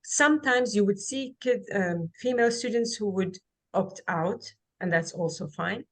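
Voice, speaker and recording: A woman giving a webinar presentation over a video call. She speaks haltingly and fills pauses with many ums and restarts. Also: mid-range voice. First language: Kazakh. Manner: halting, with frequent fillers and restarts. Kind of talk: online presentation and Q&A.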